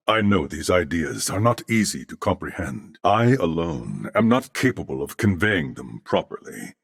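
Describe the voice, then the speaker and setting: A low-voiced, courteous humanoid fused with machine intelligence for a video game. The diction is formal, measured and earnest, yet the intonation moves expressively. The sound is dry and close, giving the thoughtful character a clean studio presence. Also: male voice, gravelly, a bit bright, no echo, heavily acted. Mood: solemn, earnest.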